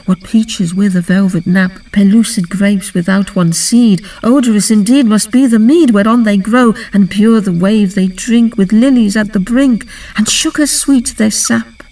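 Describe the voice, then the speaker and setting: A woman's voice: medium pitch, a little slow, few pauses, warm tone, far from the mic, close to an everyday voice, rough recording. A woman playing earnest young maidens in a dramatic, theatrical reading of a Victorian fairy-tale poem. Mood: eager. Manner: theatrical, emphatic recitation.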